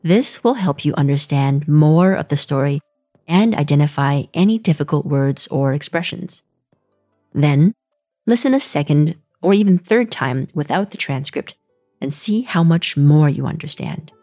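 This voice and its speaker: Woman host, low voice, clear teacherly lesson read. Dry studio sound, faint music underneath. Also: expressive, warm tone, no echo. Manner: clear, slow teacherly delivery. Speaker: podcast host. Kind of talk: scripted lesson narration.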